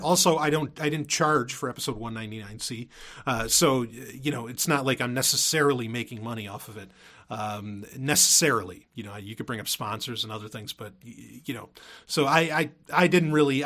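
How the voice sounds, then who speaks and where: A man's voice: mid-range voice, a bit bright. A man hosting a podcast in a casual, unscripted monologue, often interrupting himself and drifting into asides. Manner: rambling, self-interrupting, with frequent hedging asides. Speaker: podcast host.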